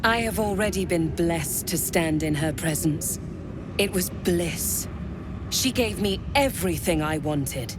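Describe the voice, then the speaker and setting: A woman's voice: on the low side, lively intonation, a little slow, background noise. A woman playing a haughty, sadistic cult commander in a video game, commanding and silky with menace. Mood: rapt, seductive. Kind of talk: video game character acting.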